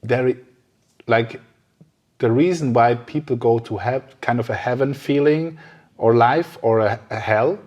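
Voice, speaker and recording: Guy hosting a casual podcast, soft mellow voice, kinda hesitant, searching for words as he goes. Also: medium pitch, unhurried. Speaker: podcast host. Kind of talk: casual conversational interview.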